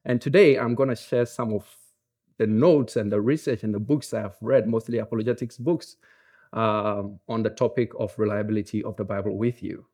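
A man with a Ghanaian English accent, preaching a sermon in a relaxed, joking manner with frequent fillers. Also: on the low side, moderate pace. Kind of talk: conversational sermon.

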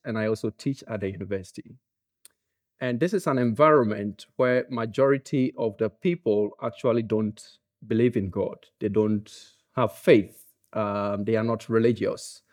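A man with a Ghanaian English accent, preaching a sermon in a relaxed, joking manner with frequent fillers. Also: fairly low pitch. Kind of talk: conversational sermon.

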